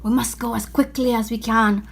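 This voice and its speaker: An anxious, gentle faun, played by a woman reading a storybook, pitched high. He pleads and confesses dramatically, full of remorse. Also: level tone, moderate pace, creaky, slight background noise. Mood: resolved, urgent.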